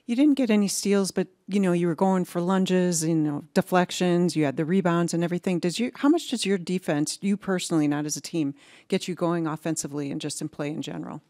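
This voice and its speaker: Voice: medium pitch, a little fast, dark tone, no echo. Reporter with a dry, close sound. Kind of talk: asking press conference questions.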